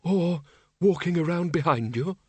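A man's voice: high voice, big pitch swings, unhurried, husky, dry recording, pitched above the performer's own voice, exaggerated voice. A man voicing a frail elderly man with a quavering, polite but sly manner in a storybook reading. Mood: quick, coaxing. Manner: quavering, hesitant.

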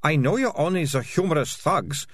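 A woman's voice: sits low, animated, normal speed, breathy edge, voice pushed up. A man reading aloud, voicing a bossy, theatrical teenage girl who speaks in an accusing tone.

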